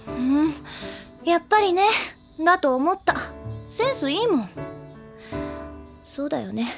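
Prickly, flustered teenage girl, high-voiced. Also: very animated, unhurried, gravelly, full character voice. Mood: curt, sulky. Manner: curt, defensive, trailing into shy mumbles. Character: prickly, easily flustered high school girl hiding affection. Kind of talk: anime audio drama acting. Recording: studio drama with music underneath.

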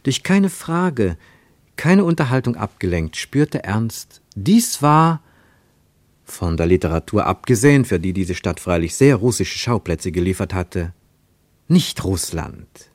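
A man narrating a literary radio play with measured, deliberate phrasing and dramatic pauses. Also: lowish voice, big pitch swings, no echo.

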